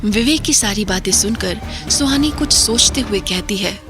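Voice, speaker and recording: A woman's voice: mid-range voice, animated, normal speed, music in the background. Woman narrating a horror audio drama. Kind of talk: scripted narration.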